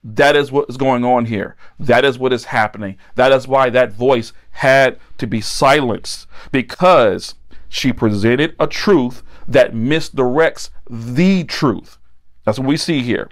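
A man's voice: mid-range voice, takes it slowly. A middle-aged man preaching a Bible-teaching sermon, emphatic and repeating key phrases for stress. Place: indoor set with backdrop, at a table.

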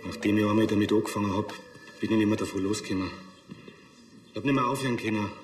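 An actor playing a guilty, cornered chimney sweep, subdued and confessional. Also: male voice, on the low side, quick pace, echoey room, natural voice.

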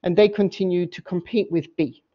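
A woman professor giving an academic lecture, explaining slides in a halting way and often rephrasing mid-sentence. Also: on the low side, fairly even intonation, warm tone. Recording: dull, muffled lo-fi audio.

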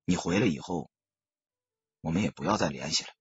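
A man's voice: low-pitched, lively intonation, brisk, slightly rough, no echo, dull audio, voice pushed down, heavily acted. A man playing a stoic young gangster, curt and subdued, holding back heartbreak in an audiobook. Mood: cold, pained.

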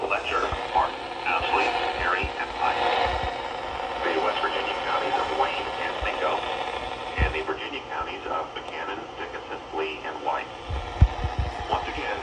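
A man reading a formal, measured weather service announcement, heard through radio speakers. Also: sits low. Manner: measured, impersonal. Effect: played through weather radio speakers. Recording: radio speakers picked up by phone, very noisy.

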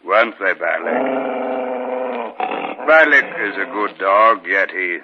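An actor playing a courteous, menacing villain in a 1950s radio horror drama, speaking with deliberate politeness. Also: male voice, low voice, lively intonation. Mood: coolly menacing. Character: sinister, courteous, menacing male villain with a dog. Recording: noisy, muffled 1950s radio broadcast.

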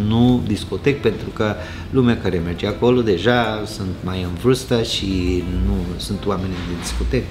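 A man in his sixties from Costa Rica with a Spanish accent, owner of a restaurant, chatting casually in an indoor restaurant bar and telling stories warmly with frequent chuckles. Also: on the low side, noisy background. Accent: Costa Rican Spanish. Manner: warm storyteller, chuckles often, gestures with hands. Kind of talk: casual interview chat.

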